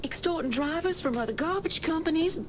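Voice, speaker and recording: Anxious, high-voiced woman informant. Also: animated, a little fast. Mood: nervous, confiding. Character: frightened, reluctant woman dump clerk turned informant. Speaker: informant character. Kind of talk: video game cutscene acting. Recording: clear background noise.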